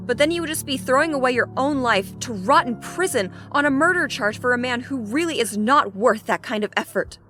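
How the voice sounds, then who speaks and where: A woman's voice: on the high side, lightly acted. A woman playing a firm, protective friend in an audio drama, speaking in an emphatic, reproving way. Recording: music behind the voice.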